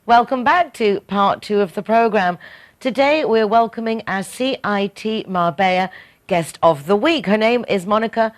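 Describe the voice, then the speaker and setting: A woman's voice: medium pitch, moderate pace, slight background noise. A woman hosts a radio show in a friendly, chatty way, with a mid-range voice. She introduces her guest and asks easy questions. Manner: friendly and conversational. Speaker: radio host. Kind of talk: interview hosting.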